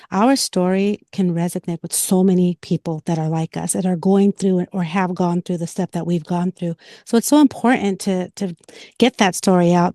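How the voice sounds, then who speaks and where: A woman's voice: fairly low pitch, mellow, dry recording, clean studio sound. A woman co-hosting a talk show, speaking conversationally in an affirming, encouraging way. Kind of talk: supportive conversational interview.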